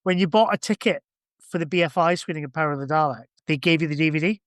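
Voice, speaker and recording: A man's voice: fairly high pitch, fast, fairly bright tone, no echo, clean studio sound. A man chatting casually as a podcast guest, informal and a bit sweary. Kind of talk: casual chat.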